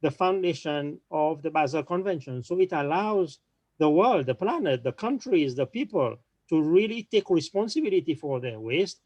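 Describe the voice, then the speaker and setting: A man speaking on an online panel, talking quickly with frequent restarts and repeated words. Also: on the high side, lively intonation, a little mellow, no echo, dull audio.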